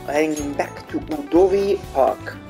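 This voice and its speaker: A man's voice: on the high side, big pitch swings, measured pace, gravelly, warm tone. A man giving casual, offhand let's play commentary in short reactions. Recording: game music behind.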